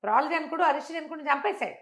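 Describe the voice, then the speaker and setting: An older woman telling a traditional moral story in a conversational interview, acting out the characters' speech with lively jumps in voice. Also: rapid-fire. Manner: animated, acts out quoted speech with big pitch jumps.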